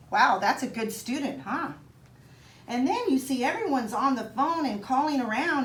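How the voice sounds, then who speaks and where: A woman reading a children's picture book aloud in an expressive, child-directed way, with chatty asides to young listeners. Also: on the high side. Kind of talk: animated storybook read-aloud for children with casual asides. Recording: roomy echo, some background noise.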